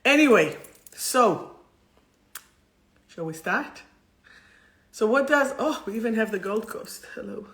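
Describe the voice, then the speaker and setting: A woman's voice: a little high, lively intonation, slow, pauses often, fairly bright tone. A woman with an Israeli accent hosting a chatty livestream spiritual talk in an effusive, playful, rambling manner. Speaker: host of a livestream soul talk.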